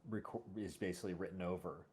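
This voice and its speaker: Low-voiced man, halting and thoughtful. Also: a little mellow. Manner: halting, thinking aloud with self-corrections. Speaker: host and audio drama series creator. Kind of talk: casual interview chat.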